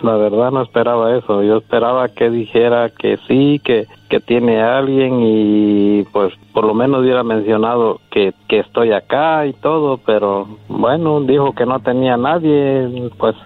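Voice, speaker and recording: A man's voice: medium pitch, moderate pace, few pauses, dry recording. A man talking casually over the phone in a reproachful, repetitive way. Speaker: caller to a radio show. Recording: narrow tinny phone line.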